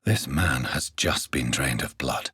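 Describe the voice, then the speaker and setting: A male actor with an English accent playing a grave, courteous gentleman doctor turned vampire in a video game, with formal, measured diction and a husky voice. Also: very deep voice, no echo, studio quality, exaggerated voice. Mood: grave, inquiring. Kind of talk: dramatic video game dialogue.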